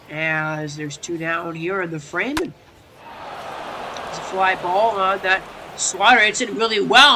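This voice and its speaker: A man's voice: high-pitched, bright, forceful tone, slight background noise. A young man with a stuffy, congested voice doing chatty play-by-play commentary over a baseball video game, full of fillers and restarts and rising when a big play happens.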